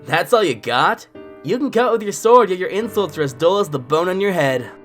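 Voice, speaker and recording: A woman's voice: lowish voice, animated, a little fast, soft background music, lightly acted. A woman playing a taunting, thin-skinned teenage boy in an audio drama, with mocking, foul-mouthed gamer slang. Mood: smug, taunting.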